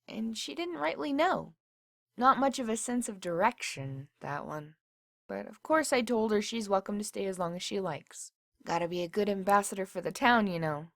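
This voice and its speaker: A woman's voice: medium pitch, no echo, clean studio sound, close to an everyday voice. A woman playing a chatty, cheerful small-town motel desk clerk, rambling in a folksy, bubbly way through a diary. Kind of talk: acted diary reading.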